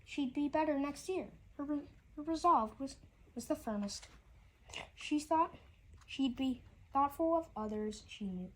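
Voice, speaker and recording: A woman's voice: high-pitched, a little slow, rough recording. A woman reading a rhyming children's story aloud, often stumbling and repeating words as she goes.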